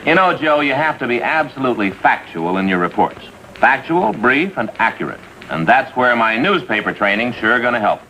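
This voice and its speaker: Male, American; eager, self-satisfied detective partner, animated pitch, bright tone, vintage TV sound. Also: moderate pace. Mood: cheerfully boastful. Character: cheerful, boastful, earnest male police detective sidekick. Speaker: supporting actor. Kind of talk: 1950s TV police drama acting. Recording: faintly noisy vintage TV soundtrack.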